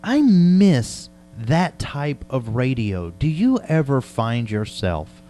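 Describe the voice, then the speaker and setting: A man's voice: medium pitch, big pitch swings, slow pace, warm tone, soft background music. A man chatting casually and conversationally as an interviewer. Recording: clear background noise with hum.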